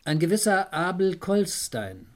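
An actor playing an eager police assistant in a radio crime drama, reporting in a dry, matter-of-fact, deferential way. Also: male voice, sits high, very animated, measured pace. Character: eager, dryly witty male police assistant detective.

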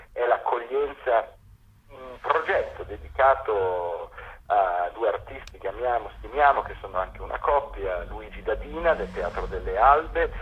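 A man speaking as a radio guest, explaining a theatre programme in an interview. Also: fairly low pitch. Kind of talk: spoken interview, presenting a programme. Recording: dull lo-fi phone call, faint noise.

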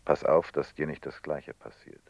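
An actor playing a worldly, gallant telepathic police prefect in a radio play, with a measured, insistent manner. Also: male voice, rumbling low, fairly even intonation, dry recording. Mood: probing, warning. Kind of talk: German radio play acting. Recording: dull, dry studio radio recording.

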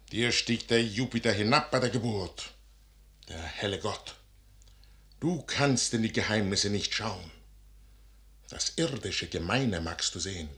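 An imperious, secretive warlord in a 1950s radio play. His voice is low and forceful, with a sharp edge. He declaims verse grandly, with deliberate, weighty emphasis. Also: heavily acted. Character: imperious, proud, secretive army commander who trusts the stars. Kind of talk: 1950s German radio drama acting, classical verse. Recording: 1955 radio studio recording.

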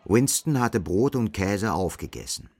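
A male narrator with a low voice reads literary prose for a radio drama. His delivery is measured and matter-of-fact. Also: animated, darkish tone.

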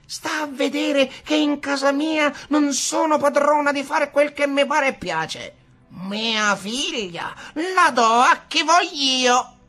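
A man voicing a domineering middle-aged village mother who shouts indignantly in a storybook reading. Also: very high-pitched, slow pace, soft background music, pitched above the performer's own voice, full character voice.

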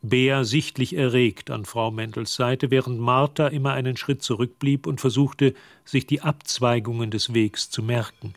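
An actor as a measured, literary narrator of a suspenseful radio drama. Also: male voice, medium pitch, fairly even intonation, dry recording, studio quality, close to an everyday voice. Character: measured storyteller of a suspense tale.

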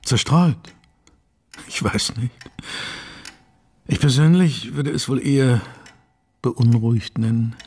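Slow, husky, grave gentleman. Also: medium pitch. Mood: brooding, troubled. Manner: measured, formal, brooding. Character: grave, courteous, troubled Victorian gentleman. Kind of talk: German radio play acting.

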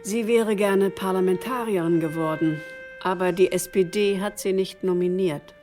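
An actress playing a calm modern woman who reflects on history in a radio play. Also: on the low side, moderate pace, faint music behind, lightly acted. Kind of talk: documentary radio play dialogue.

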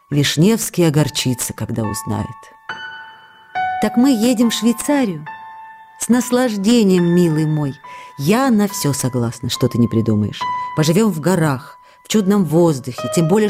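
A woman's voice: fairly low pitch, dark tone. An actress playing a devoted, eager wife reading her intimate, coaxing letters to her husband in a radio drama. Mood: mildly exasperated.

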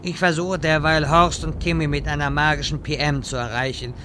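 A man playing a wise, exasperated old wizard in a fantasy parody radio play, speaking deliberately and dryly. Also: a little high, flat delivery, pushed and bright, a little hiss or hum. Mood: commanding. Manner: slow, deliberate, dry.

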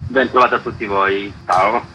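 Bright-voiced man explaining, noisy background. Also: lowish voice, steady tone, moderate pace, highs cut off. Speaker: festival co-artistic director, interview guest. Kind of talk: explanatory radio interview.

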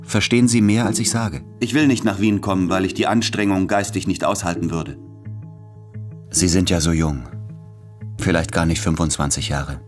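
An actor playing an anguished, self-doubting man in his late thirties, speaking in measured, introspective literary monologue in a radio drama. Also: sits low, steady tone, music in the background, lightly acted.

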